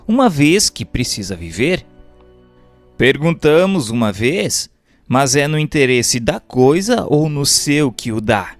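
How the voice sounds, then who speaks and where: A man reads a religious text aloud as scripted narration, in a measured, formal, didactic manner. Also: a little high. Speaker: audiobook narrator.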